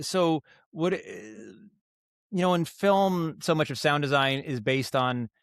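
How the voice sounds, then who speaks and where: A man's voice: on the high side, fairly bright tone, smooth, no room sound, clean studio sound. A man talking casually as an interview guest, explaining his craft in a thoughtful, rambling way with frequent self-corrections. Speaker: podcast guest, audio storyteller and director.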